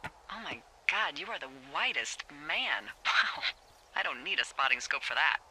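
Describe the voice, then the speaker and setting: An actress playing a wry, teasing American fire lookout supervisor in a naturalistic video game, talking conversationally over a walkie-talkie. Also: female voice, low-pitched, very animated, moderate pace. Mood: playful, teasing. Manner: playfully sardonic, conversational. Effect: walkie-talkie radio. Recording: radio sound with clear background noise.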